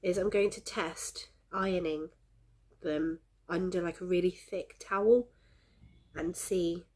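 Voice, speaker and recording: A woman's voice: lowish voice, fairly even intonation, slow pace, a little mellow. A woman with a head cold chatting cheerfully and ramblingly to her viewers in a casual crafting vlog, a little congested and coughy. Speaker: crafting vlogger. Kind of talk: casual chat to camera.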